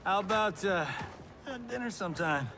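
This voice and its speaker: A man's voice: high voice, lively intonation, normal speed. A man playing a wisecracking, flirty action star in a video game cutscene, casual and jokey with slightly awkward phrasing. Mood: sheepish, flirty. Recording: noisy outdoor battle ambience.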